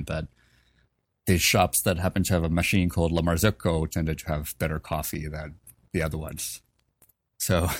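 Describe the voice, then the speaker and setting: A man in his twenties from Finland, telling his story in a relaxed, chatty way as a podcast guest. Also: rumbling low, no room sound. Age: 20s. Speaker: podcast guest, coffee professional. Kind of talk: casual interview storytelling.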